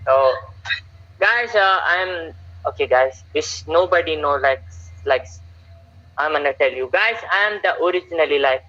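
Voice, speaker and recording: A young man from Saudi Arabia chats haltingly on a live stream. His voice is bright and forceful and sits a little high for a man. It comes over dull lo-fi phone audio. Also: expressive. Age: young adult. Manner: halting, repeats words and fillers. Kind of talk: casual live-stream chat.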